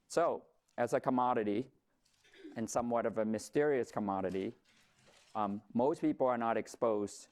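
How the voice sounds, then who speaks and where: A man's voice: on the low side, darkish tone. A middle-aged man from Vietnam, a company founder, giving a conversational talk with light humor and occasional fillers. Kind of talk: presentation to an audience.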